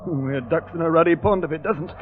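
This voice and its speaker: A man playing a gruff, dutiful British army sergeant who grumbles in slang, in 1950s radio drama style. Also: a little high, fast talker, lightly acted. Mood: tense, hushed. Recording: muffled, noisy vintage radio recording.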